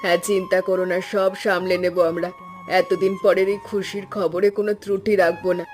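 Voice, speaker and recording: A woman's voice: fairly low pitch, level tone, a little fast, a little mellow, lightly acted. A woman acting an eager village mother, music behind. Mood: happy, eager. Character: caring mother preparing eagerly for her daughter's visit. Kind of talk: cartoon voice acting.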